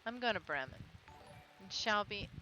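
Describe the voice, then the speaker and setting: A woman's voice: mid-range voice, lively intonation, normal speed, over loud music, close to an everyday voice, rough recording. A woman voicing a hearty, friendly old donkey who invites others along, in a storybook reading. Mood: hearty, inviting. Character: old male donkey, friendly would-be town musician.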